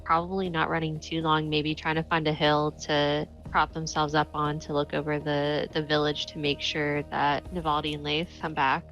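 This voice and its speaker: A woman's voice: deep voice, little pitch movement, normal speed, hardly any pauses, a bit bright, faint music behind, poor audio. A woman playing a tabletop game, chatting casually and bluntly about her character's moves.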